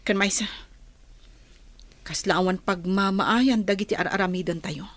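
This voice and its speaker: A woman's voice: mid-range voice, measured pace, close to an everyday voice. A woman with an Ilocano accent playing a grave, cautioning older-sister rebel in a radio drama, earnest and admonishing. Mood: grave, worried.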